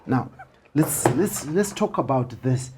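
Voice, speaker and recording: A man hosting a conversational interview, haltingly repeating the openings of his phrases. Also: medium pitch, expressive, a little mellow, a little hiss or hum.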